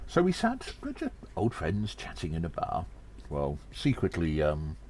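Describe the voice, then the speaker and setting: Man as audio-drama gnome adventurer: extremely low voice, slow theatrical storytelling, earnest. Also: huge pitch swings, darkish tone, slight background noise, heavily acted. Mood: earnest, conspiratorial. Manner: theatrical, halting storyteller delivery.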